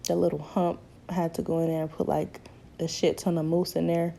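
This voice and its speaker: A young woman in her twenties, hoarse from a cold, chatting casually through a hair tutorial and calling her viewers ladies and honey. She is recorded in a small bedroom on the camera's own mic. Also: deep voice, little pitch movement, fairly quick, mellow, a little hiss or hum. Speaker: beauty vlogger. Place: small bedroom at home, indoors. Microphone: camera mic, seated close in front.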